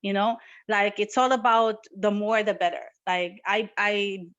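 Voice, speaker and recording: A young woman from Morocco, guest on an interview over a video call, talking in a lively, casual way with headphones on. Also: medium pitch, a little slow, no room sound, lo-fi sound. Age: young adult. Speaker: guest entrepreneur and artist.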